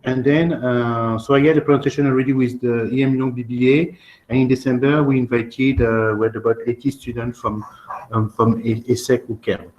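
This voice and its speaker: A man's voice: medium pitch, fairly even intonation, fairly quick, darkish tone, dull audio. A man with a French accent presents an informal online webinar, often hesitating and repeating his phrases as he goes. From France. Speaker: presenter, admissions test-prep consultant.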